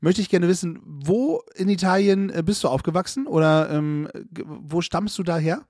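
A man's voice: high voice, normal speed, darkish tone, no room sound, clean studio sound. A man interviewing the host, teasing him and hesitating with fillers. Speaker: guest interviewer. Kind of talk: casual conversation.

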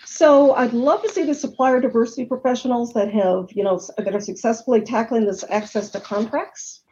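High-voiced woman explaining figures earnestly. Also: a little fast, warm tone, lo-fi sound, a little hiss or hum. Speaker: panel guest on supplier diversity. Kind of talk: webinar panel discussion.

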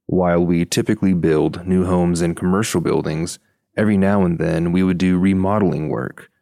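A man's voice: sits low, fairly even intonation, normal speed, creaky, mellow, dry recording, clean studio sound. A man reading a scripted first-person account as a podcast narrator. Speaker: podcast host reading listener stories.

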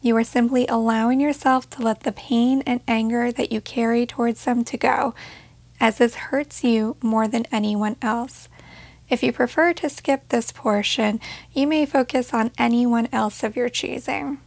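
A woman leading a guided meditation in a gentle, measured way, leaving pauses between short phrases. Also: fairly high pitch, steady tone, creaky, dry recording.

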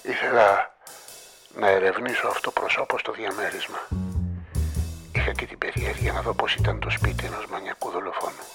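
An actor playing an impatient, bossy police inspector in a radio play. He barks orders and mutters his thoughts in a hushed voice. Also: on the low side, faint music behind. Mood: brooding, hushed.

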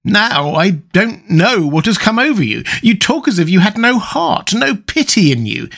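An earnest older painter from an audiobook classic, played by a male narrator, high and swooping in pitch. He is anguished and morally shocked, pressing his reproaches with pained emphasis. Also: sing-song, measured pace, gravelly, a bit bright, full character voice. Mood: appalled, pleading. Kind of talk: dramatised audiobook reading of a classic novel.

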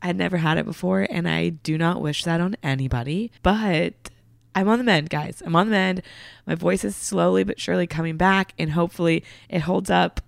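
A woman hosting a podcast and answering a listener question in casual chat, her voice hoarse as it recovers from a throat infection. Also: lowish voice, steady tone, moderate pace, no room sound, clean studio sound. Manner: chatty and earnest. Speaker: podcast host and therapist.

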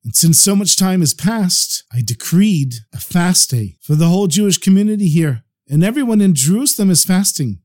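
A man voicing a calm, fatherly rabbi in a story, soothing and patiently explaining. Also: high-pitched, normal speed, few pauses, no echo, studio quality, pitched above the performer's own voice.

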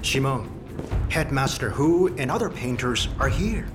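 An actor playing a dignified, learned master painter and protective mentor in a radio drama, measured and deliberate. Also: male voice, medium pitch, lively intonation, music in the background, close to an everyday voice. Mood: low, urgent.

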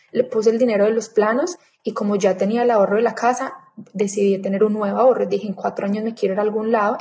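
A woman in her thirties telling her own story in a casual interview, chatty and animated, acting out her past thoughts as quotes. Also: medium pitch. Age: 30s. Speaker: interview guest.

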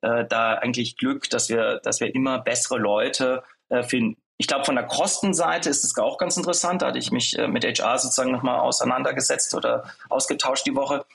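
Startup guy in an interview, lots of ähms and restarts, normal pitch. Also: vocal fry, fairly bright tone. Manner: hesitant, many fillers and restarts. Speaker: startup founder guest.

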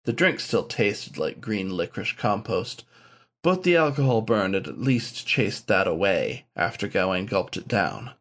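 A man's voice: fairly low pitch, sing-song, measured pace, fairly bright tone. A man reading scripted fiction aloud as its author and narrator. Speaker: author reading his own story.

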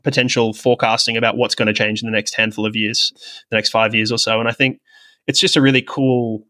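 Fast-talking man with a bright, forceful voice. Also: mid-range voice, little pitch movement. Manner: rambling, self-deprecating, reflective. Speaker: podcast guest, sports technology professional with medical and coaching background. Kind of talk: conversational interview, explaining own experience.

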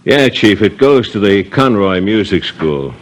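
A blunt American cop sidekick in old-time radio. His very low voice delivers streetwise slang in an eager, gruff way. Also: expressive, measured pace. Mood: brisk, dutiful. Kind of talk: 1950s radio acting.

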